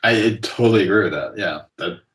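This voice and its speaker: A man in his forties, a guest artist chatting casually on a podcast, relaxed and rambling with lots of fillers. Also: on the low side, quick pace. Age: 40s.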